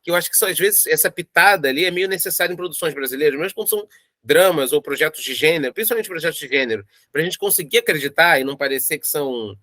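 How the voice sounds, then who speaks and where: A man's voice: a little high, animated, quick pace, pushed and bright, no echo. A Brazilian man talking casually and reflectively as a creator in an interview, circling through his explanations with informal asides. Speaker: audio drama creator interviewed in a making-of.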